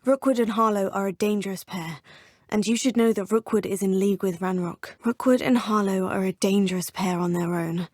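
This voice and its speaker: Woman voicing a breathy, polite schoolgirl witch. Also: medium pitch, steady tone, brisk, a little mellow, no room sound, studio quality, natural voice. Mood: earnest. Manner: polite and formal, often murmuring to herself. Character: earnest, polite teenage girl witch student. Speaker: player character. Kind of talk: game voice lines.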